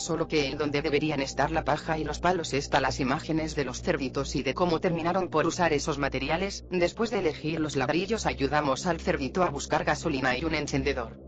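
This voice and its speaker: Higher-pitched man recapping a story. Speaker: video narrator.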